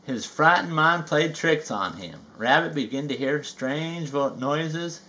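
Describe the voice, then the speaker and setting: A man reading a children's story aloud with folksy, chatty asides and drawn-out emphasis. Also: a little high, animated, sharp tone. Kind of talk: animated read-aloud narration with chatty asides.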